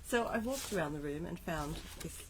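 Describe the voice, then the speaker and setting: A woman's voice: lowish voice, animated, normal speed. A woman with a scratchy voice from a cold, chatting casually while she demonstrates card making on a live stream. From Australia. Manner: chatty, asides to herself while setting up. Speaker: craft demonstrator.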